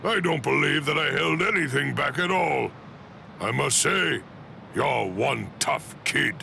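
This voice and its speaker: An actor playing an ancient, stern and proud warrior commander in an anime video game, with a gravelly voice and a grave, imperious delivery. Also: male voice, mid-range voice, lively intonation, measured pace, bright, forceful tone, a little hiss or hum, full character voice. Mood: scornful, confident. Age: elderly. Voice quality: gravelly, creaky.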